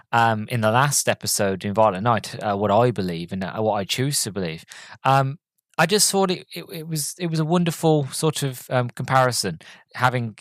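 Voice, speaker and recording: A man's voice: mid-range voice, fairly bright tone, no room sound. A man hosting a podcast, chatting casually about a movie, slightly groggy with a cold and rambling with many restarts and ums. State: has a cold, a bit groggy. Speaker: podcast host. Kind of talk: casual solo movie review chat.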